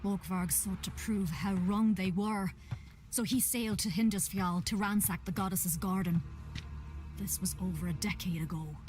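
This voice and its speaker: A stern priestess, voiced by a woman with a mid-pitched voice. She speaks gravely and formally, like a keeper of sacred lore in a fantasy game, with faint music behind. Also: steady tone, normal speed, darkish tone, natural voice. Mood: grave, condemning. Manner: formal, solemn. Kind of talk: fantasy video game acting.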